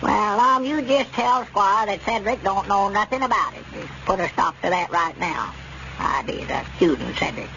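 An actor playing an excitable, loyal old country codger in a radio comedy, with a rustic Southern accent. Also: male voice, sits high, normal speed, vocal fry, full character voice. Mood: protesting. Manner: rustic, ungrammatical, protesting. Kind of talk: 1930s radio comedy acting. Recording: muffled, noisy old radio broadcast.

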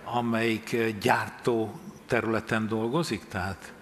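A man in his sixties moderating a conference panel, talking slowly and conversationally with joking asides. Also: lowish voice, fairly even intonation, a bit bright. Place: conference stage, indoors.

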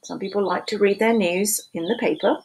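A woman in her fifties reading picture books aloud to preschoolers in a slow, sing-song storytime voice, recorded on a webcam in a small room at home. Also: mid-range voice, darkish tone, slight background noise, poor audio. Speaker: children's book reader. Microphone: webcam at desk.

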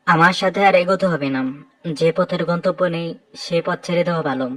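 A woman voicing a calm, gently teasing young male airline pilot in a romantic audio story. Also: on the low side, normal speed, no room sound, far from the mic, voice pushed down.

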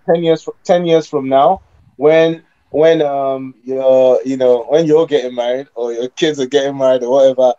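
A man's voice: medium pitch, steady tone, moderate pace, warm tone, muffled recording. A young man hosting a casual podcast over a video call, posing long, probing questions and restarting mid-thought. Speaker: podcast host. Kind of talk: casual debate among friends.

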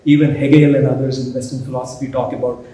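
A middle-aged man with an Indian English accent gives a formal lecture at a podium, pausing often with "uh" and restarting phrases. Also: medium pitch, level tone, mellow. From India (Hyderabad). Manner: halting, many "uh" fillers and restarts. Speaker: guest lecturer, professor. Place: large hall with a banner backdrop. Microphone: podium mic, filmed from a distance. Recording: very echoey hall, faint noise.

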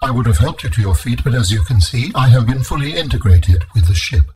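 An actor voicing an ancient, solemn alien in a video game, speaking in formal, measured, grave phrases with a husky tone. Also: male voice, mid-range voice, lively intonation, darkish tone, soft background music. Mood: calm, formal. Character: ancient alien architect being, male, solemn and calm.

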